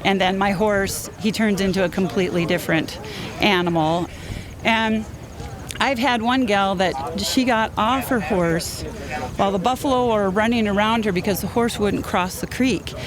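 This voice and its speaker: A woman in her fifties, a roundup rider, telling stories in a lively way and laughing easily during a casual outdoor interview. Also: medium pitch, normal speed, a crowd in the background. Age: 50s. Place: outdoors at a busy event. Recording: noisy outdoor field recording.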